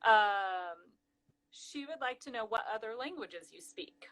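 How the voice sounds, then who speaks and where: A woman's voice: on the high side, animated, a bit bright, poor audio. Upbeat woman host reading viewer questions. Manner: upbeat, reads viewer questions, quick affirmations. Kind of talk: casual live-stream interview.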